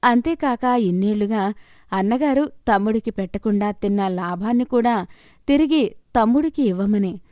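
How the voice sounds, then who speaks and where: A woman's voice: a little high, dark tone, dry recording, clean studio sound. A woman narrating a scripted moral story as the storyteller.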